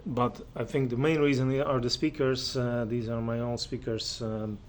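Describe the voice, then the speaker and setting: A man's voice: mid-range voice, level tone, normal speed, a little hiss or hum. A man gives an unscripted spoken review of audio equipment, speaking hesitantly and often searching for his words. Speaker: audio reviewer giving listening impressions.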